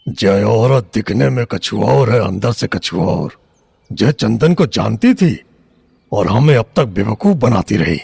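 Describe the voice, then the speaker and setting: An actor playing an enraged, abusive older husband, suspicious and crude, speaking with a rustic eastern Hindi heartland accent in an audio drama. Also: male voice, fairly low pitch, sing-song, unhurried, gravelly, darkish tone, breathy edge, no echo, heavily acted. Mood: furious, threatening. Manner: rustic, menacing, colloquial.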